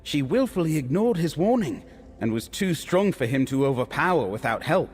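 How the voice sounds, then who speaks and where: An actor playing an earnest, thoughtful scholarly ally in a fantasy game, measured and confiding. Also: male voice, a little high, sing-song, slightly rough. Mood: awed, pondering. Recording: reverberant space, faint music behind.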